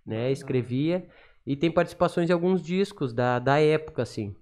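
A man's voice: a little high, animated, normal speed, darkish tone. A man in his thirties with a southern Brazilian gaucho accent, talking casually as a podcast guest. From Rio Grande do Sul, southern Brazil. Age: 30s. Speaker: podcast guest, self-taught accordion player and teacher. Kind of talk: casual storytelling in an interview. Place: small studio, indoors. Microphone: podcast microphone on boom arm, talking through cloth mask.

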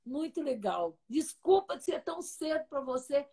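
A woman in her sixties from Brazil, hosting a casual live video interview from her home studio; effusive, chatty and quick to laugh. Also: sits high, big pitch swings, warm tone. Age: 60s. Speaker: interview host. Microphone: laptop webcam, video call.

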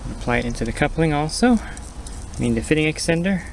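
A man's voice: on the high side, lively intonation, a little fast. A man giving casual, plain-spoken step-by-step instructions as he does hands-on work outdoors, hosting a how-to tutorial. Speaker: how-to host, pool equipment installer. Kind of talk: casual hands-on tutorial narration while working. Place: outdoors at a small backyard equipment pad. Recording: outdoor recording with clear ambient background noise.

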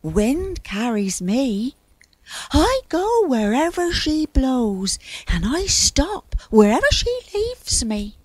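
A woman's voice: high voice, big pitch swings, darkish tone, no room sound, voice pushed up, full character voice. A woman voicing a sulky, plaintive little cloud in a children's story, drawing out its complaints. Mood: protesting. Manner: plaintive, drawn-out protesting.

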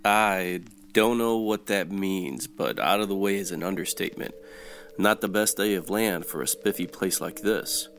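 Man playing a low-voiced hard-boiled radio detective. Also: a little hiss or hum. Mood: wry, casual. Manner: wry, slangy, terse. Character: hard-boiled, world-weary 1940s-style male private detective. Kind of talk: old-time radio noir narration.

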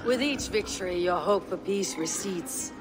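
An actress playing a steady Viking woman leader who speaks calmly, bluntly and dryly in game cutscenes. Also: mid-range voice, normal speed, big-room sound, music in the background, lightly acted. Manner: calm, dry, blunt. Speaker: game protagonist.

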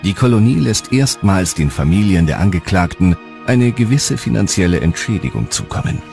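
A man with a very deep voice narrates a documentary from a script. His delivery is animated and varied in pitch, with faint music playing underneath. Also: normal speed, gravelly. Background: faint background music.

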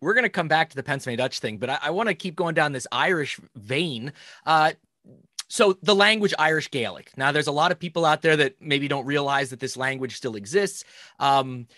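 A man's voice: fairly high pitch, bright, forceful tone, no echo, studio quality. A man hosting a podcast, asking questions casually, with many likes and ums. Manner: conversational, lots of "like" and "um". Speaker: podcast host.

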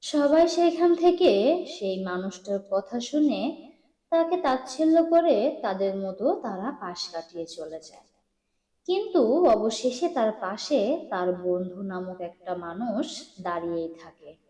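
A woman reading scripted cartoon story narration in a dramatic, deliberate storytelling manner. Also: mid-range voice, animated, mellow.

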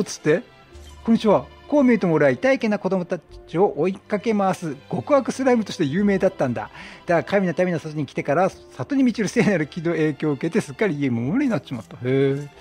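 A man with a fairly high, very lively voice plays a video game and talks his way through it. He reacts out loud and reads on-screen text, with faint music behind him. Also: fairly quick. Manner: talkative, reactive, exclaiming. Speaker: let's play streamer. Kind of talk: chatty game commentary, reading game text aloud.

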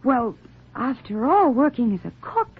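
An actress playing an anxious, pleading, well-bred young daughter in 1940s radio drama style. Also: female voice, fairly high pitch, big pitch swings, a little slow, slightly rough, heavily acted. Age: young adult. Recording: muffled, narrow 1940s radio recording.

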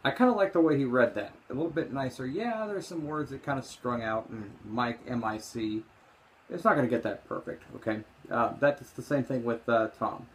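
Halting, opinionated man explaining casually. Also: mid-range voice, a little hiss or hum, rough recording. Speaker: vlogger demonstrating screen reader voices. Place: small room indoors. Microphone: handheld phone, later lying on desk.

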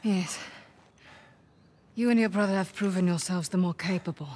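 A woman playing a tough, scornful mercenary commander in a video game, curt and menacing, with a husky voice. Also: fairly low pitch, normal speed, darkish tone. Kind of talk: video game cutscene acting.

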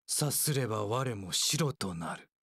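Slow, imperious warlord strategist. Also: male voice, on the low side, animated, fairly bright tone, husky, no echo, clean studio sound, heavily acted. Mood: cold, haughty. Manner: archaic, imperious, measured speech. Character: cold, haughty male warlord strategist. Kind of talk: video game character voice lines.

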